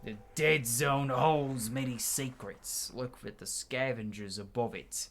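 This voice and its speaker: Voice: medium pitch, takes it slowly, fairly bright tone, soft background music. A game player voicing a sly, ominous keeper of secrets with an emphatic, dramatic drawl.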